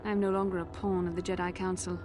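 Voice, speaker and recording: An actress playing a proud, bitter fallen Jedi in a video game, haughty, intense and deliberate. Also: female voice, lowish voice, level tone, background noise, lightly acted. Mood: scornful. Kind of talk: dramatic video game acting.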